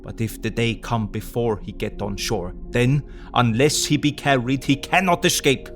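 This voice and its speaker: A man playing a learned, resolute older foreign professor in an audio drama, speaking deliberately with quaint phrasing. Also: mid-range voice, a bit bright, soft background music, natural voice. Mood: grave, explaining. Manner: deliberate, emphatic, quaint non-native phrasing.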